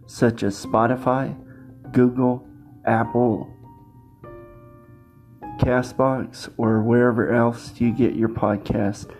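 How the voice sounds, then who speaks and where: A man's voice: mid-range voice, a little mellow. A man hosting a podcast, speaking informally to listeners in a casual sign-off style. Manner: informal, addresses listeners as guys. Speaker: podcast host.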